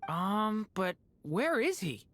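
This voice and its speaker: An actress playing a gentle, hesitant young woman in a video game, with a tentative, friendly manner. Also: medium pitch, very animated, takes it slowly, no echo, heavily acted. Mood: curious.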